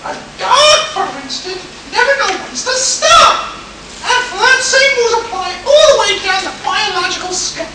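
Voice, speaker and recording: A woman's voice: extremely high pitch, expressive, moderate pace. A woman playing a scientist's alarmed, indignant assistant in a pulp science fiction radio play, exclamatory and incredulous. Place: live convention performance, recorded from afar. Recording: distant, very noisy, echoey room.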